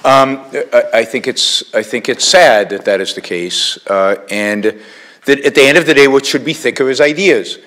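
A man's voice: medium pitch, normal speed, fairly bright tone. A man speaking as a panelist, firmly voicing his disagreement. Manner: firm, openly disagreeing. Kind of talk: panel debate.

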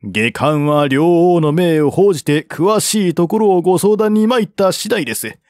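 A man's voice: on the high side, moderate pace, darkish tone, no room sound, clean studio sound, pitched above the performer's own voice, close to an everyday voice. A man voicing a humble, earnest envoy in formal courtly speech for a historical audiobook. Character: deferential male envoy, courtly and earnestly persuasive. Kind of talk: audiobook reading of historical novel dialogue.

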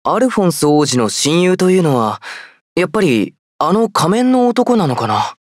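A gentle young game hero, loyal and sincere. His youthful voice swings easily in pitch and is recorded close and dry. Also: very animated, quick pace, no room sound. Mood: friendly, bashful. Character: gentle, loyal young swordsman prince in armor. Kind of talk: Japanese video game character voice lines.

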